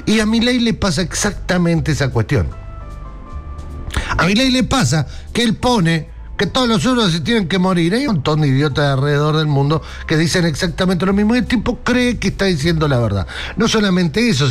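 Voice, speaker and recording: Sarcastic high-voiced Argentine man. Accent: Argentine Rioplatense. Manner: mocking, sarcastic, laughs at own jokes, addresses listener directly. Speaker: political commentator. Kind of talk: sarcastic political monologue.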